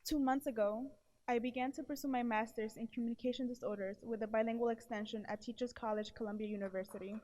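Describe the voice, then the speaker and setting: A woman's voice: fairly high pitch, steady tone, quick pace, darkish tone, poor audio. A woman in her early twenties with a stutter, earnestly delivering a prepared speech to an audience. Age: early 20s. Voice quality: stutter with blocks and repeated syllables. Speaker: award presenter giving a testimonial.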